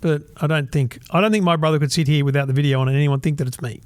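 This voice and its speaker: A man in his fifties co-hosting a podcast, bantering quickly and mimicking people in his anecdotes. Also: on the high side, steady tone, a little mellow, clean tone, dry recording. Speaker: podcast co-host. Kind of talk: casual chat.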